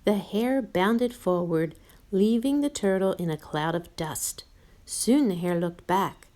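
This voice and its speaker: A woman storyteller reading a storybook aloud to young children, expressive and playful. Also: medium pitch, slow. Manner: expressive, addresses listeners playfully.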